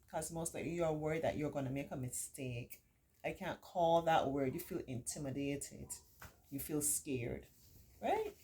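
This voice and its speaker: A woman reading a picture book aloud to children, with encouraging, simply explained asides and expressive, drawn-out emphasis. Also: low-pitched. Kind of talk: read-aloud story time with chatty asides to children.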